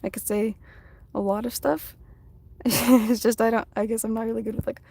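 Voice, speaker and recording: A woman whose first language is Russian, chatting softly on a casual live stream from her phone while she draws, reading out viewers' comments. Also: fairly high pitch, flat delivery, moderate pace, creaky, husky, no room sound. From United States. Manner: soft-spoken, chatty, reads viewer comments aloud.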